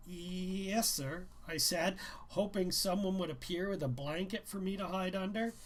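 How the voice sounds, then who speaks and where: A man from Canada reading his own book as an author, giving an expressive, theatrical and deliberate first-person narration. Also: high-pitched.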